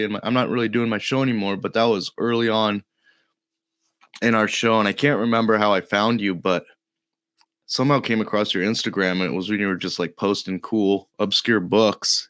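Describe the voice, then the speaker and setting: Low, slightly gravelly male podcast guest. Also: fairly bright tone, no room sound, clean studio sound. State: a little under the weather. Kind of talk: casual interview chat.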